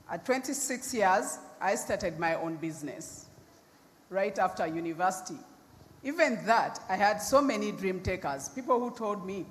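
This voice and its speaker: A middle-aged woman with a naturally deep voice tells her story on a conference panel. She speaks loudly and forthrightly. Her voice echoes through a large hall with a long reverb over faint background noise. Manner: loud, emphatic, forthright. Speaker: panelist, nonprofit founder.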